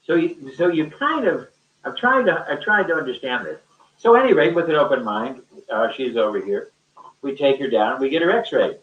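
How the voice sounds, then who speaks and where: A man's voice: medium pitch, expressive, moderate pace. Distant, echoey man telling a rambling story. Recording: roomy echo, distant mic, muffled sound.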